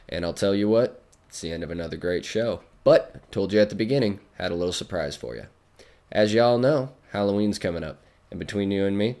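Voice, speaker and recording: An actor playing a folksy, showy skeptic radio host in a radio drama, hyping and confiding in listeners. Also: male voice, on the low side, a little mellow, close to an everyday voice. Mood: chummy patter. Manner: showman patter, hyping and confiding.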